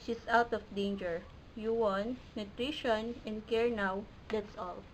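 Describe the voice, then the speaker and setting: A woman's voice: mid-range voice, steady tone, measured pace, a little hiss or hum, lightly acted, rough recording. A woman playing a grave, matter-of-fact doctor in an amateur classroom puppet play.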